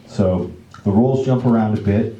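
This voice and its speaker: A man's voice: on the low side, normal speed, warm tone, lo-fi sound, slight background noise. A man hosting a live staged reading, introducing it casually to an audience in a wry, theatrical way. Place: indoor library event room. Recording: echoey room recording.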